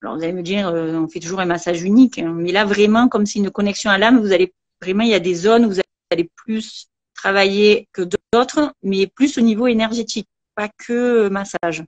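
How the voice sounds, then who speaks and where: Southern French woman, halting chatty. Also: medium pitch, fairly even intonation, no room sound. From southern France. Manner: hesitant, many fillers and restarts. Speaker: massage trainer and beautician. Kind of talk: casual interview chat.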